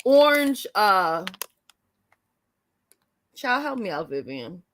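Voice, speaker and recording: A woman with a raspy voice hosting a casual live chat, telling stories loudly with lots of laughter and cursing. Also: mid-range voice, very animated, takes it slowly, a little hiss or hum. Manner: loud, salty, laughing storyteller. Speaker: host.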